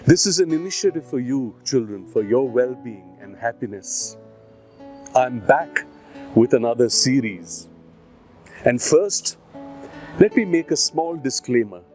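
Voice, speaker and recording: Male host, Indian accent, mid pitch, encouraging scripted introduction over soft music. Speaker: series host. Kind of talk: scripted introduction addressed to children. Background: plucked guitar music.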